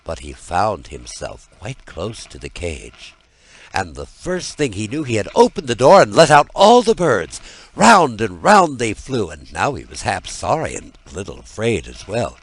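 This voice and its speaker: A man narrating a scripted fairy tale as an audiobook, in a dramatic and deliberate storytelling manner. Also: fairly low pitch, wildly swooping pitch, no echo, low-quality recording.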